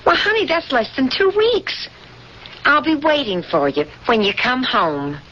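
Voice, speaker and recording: A woman's voice: sits high, animated, a little slow, a bit bright, slight background noise. An actress playing a cool, self-serving woman, a pilot's lover, in a 1970s radio drama, guarded in tone. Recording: dull lo-fi old radio recording.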